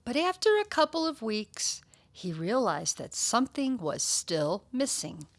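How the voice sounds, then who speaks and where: A woman reading a children's picture book aloud, with expressive, deliberate and emphatic storytelling delivery. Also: a little high.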